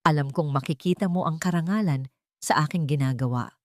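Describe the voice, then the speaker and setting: A woman's voice: sits low, fairly quick, darkish tone, no room sound, studio quality, close to an everyday voice. Woman voicing a sweet-talking, sinister villain groom. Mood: smug, tender. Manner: tender yet sinister.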